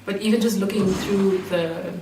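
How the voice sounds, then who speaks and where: A woman with a British-schooled Kenyan accent, reflecting aloud to a group in a thoughtful, halting way. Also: mid-range voice, fairly even intonation. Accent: Kenyan with British-school influence. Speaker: performer. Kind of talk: reflective personal talk in a group. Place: indoor room at an in-person group meeting. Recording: echoey room, distant mic, faint noise.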